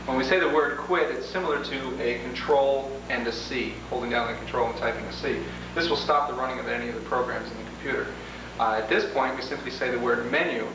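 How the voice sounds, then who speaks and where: A man giving a measured, slightly formal, explanatory demonstration of computer software, as a product demonstrator. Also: mid-range voice. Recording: distant, echoey, noisy 1980s demo tape with hum.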